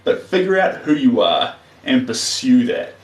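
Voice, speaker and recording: A man's voice: medium pitch, very animated, measured pace. Earnest man, roomy and distant. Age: 30s. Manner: earnest, motivational, plain-spoken advice. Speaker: painter streaming live. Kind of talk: casual livestream chat while painting.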